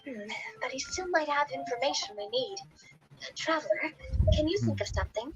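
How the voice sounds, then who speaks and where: A woman's voice: high-pitched, animated, moderate pace, highs cut off. A woman voicing a young female game adventurer, earnest, a little naive and theatrical. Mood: puzzled. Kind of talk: video game voice acting.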